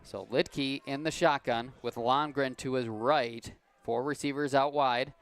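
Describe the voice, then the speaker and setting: Slow, calm man calling football. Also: medium pitch, smooth, dry recording. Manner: calm, unhurried play calling with easy laughs. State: voice worn and cracking. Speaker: play-by-play announcer. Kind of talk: high school football commentary.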